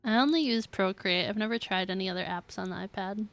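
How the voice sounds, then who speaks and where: A woman's voice: medium pitch, quick pace, fairly bright tone. A woman livestreaming a video game, chatting casually and reacting as she goes. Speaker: game streamer. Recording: game music behind the voice.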